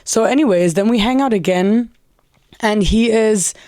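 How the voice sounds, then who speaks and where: A young woman hosting a solo podcast in a candid, profane, chatty way, her voice raspy and tired after a weekend of socializing. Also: mid-range voice, normal speed, dark tone, dry recording, clean studio sound. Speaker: podcast host. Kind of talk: casual solo podcast monologue.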